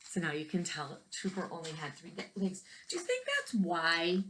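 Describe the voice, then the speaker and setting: A woman reading a picture book aloud to children, pausing to explain and ask them questions. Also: on the low side, sing-song, background noise. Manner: questioning, explains to young listeners. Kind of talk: read-aloud storytelling with asides and questions to children.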